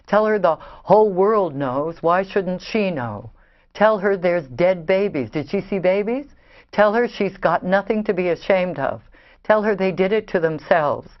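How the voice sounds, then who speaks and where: Low, swooping, hard-edged woman. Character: defiant, hard-edged adult Israeli woman in a family. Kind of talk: stage play reading. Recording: dull lo-fi recording.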